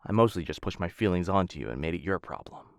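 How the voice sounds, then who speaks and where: Man acting a low, creaky-voiced courtly assassin suitor. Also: expressive, quick pace, dry recording, studio quality. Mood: bashful, sincere. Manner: formal, courtly phrasing with hesitant softer asides. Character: smitten male assassin of noble house, suave, courtly, playful. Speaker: voice actor in a boyfriend roleplay audio. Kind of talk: ASMR roleplay acting. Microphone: close studio-style mic.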